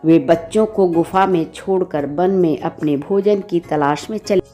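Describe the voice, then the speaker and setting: A woman's voice: on the low side, unhurried, dark tone, faint music behind. A woman storyteller narrating a children's bedtime story.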